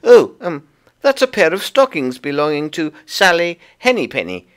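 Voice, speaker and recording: Man putting on a high-pitched fussy hedgehog washerwoman. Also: very animated, moderate pace, clear, resonant tone. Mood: chatty, fussy. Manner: bobbing, deferential, flustered, eager to explain. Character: fussy, polite, stout little hedgehog washerwoman. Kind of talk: storybook character voice.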